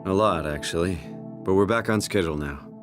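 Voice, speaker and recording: A man's voice: low voice, fairly quick, distant mic, faint music behind, lightly acted. An actor with a North American accent playing a weary, distressed man uprooted into a robot body, in a naturalistic, conversational video game style. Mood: tense, resigned. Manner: conversational, swearing, brooding.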